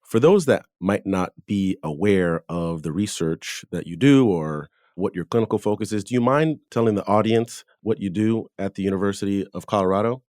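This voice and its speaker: A man with a low voice hosts an interview podcast. The sound is dry and close, and he moves between scripted introduction and questions for his guest. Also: normal speed, few pauses, darkish tone, no room sound.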